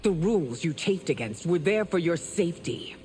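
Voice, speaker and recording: A woman's voice: lowish voice, lively intonation, moderate pace, heavy background noise, natural voice. Woman playing an imperious empress, sweeping intonation. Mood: stern, haughty. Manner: regal, formal, stern. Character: proud, imperious empress of a fantasy realm. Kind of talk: video game dramatic acting. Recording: long hall reverb, music behind.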